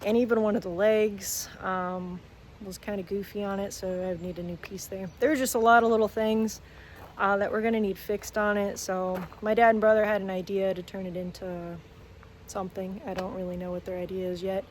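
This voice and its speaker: A woman's voice: mid-range voice, level tone, moderate pace. Young woman filming herself on her phone in the garden, chatty, lots of ums, voice kinda worn, faint outdoor hiss. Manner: chatty, plainspoken, lots of fillers. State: voice strained from talking. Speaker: farm vlogger. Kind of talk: casual farm diary vlog. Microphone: phone held while walking.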